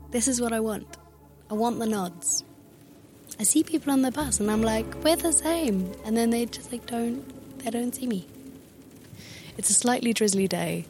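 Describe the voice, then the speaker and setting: A woman's voice: mid-range voice, a little mellow. A woman presenting a documentary and interviewing guests, light and self-deprecating in manner.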